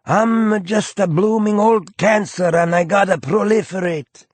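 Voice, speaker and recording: A falsely bashful, folksy tycoon, as a man voices him with a high, coy, aw-shucks lilt. The sound is dry and close. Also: animated, unhurried, no echo, full character voice.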